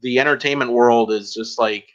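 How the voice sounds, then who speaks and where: Halting man hosting podcast, dull audio. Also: medium pitch, steady tone. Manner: halting, rambling, filler-heavy. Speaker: podcast host, interviewer. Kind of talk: casual podcast interview.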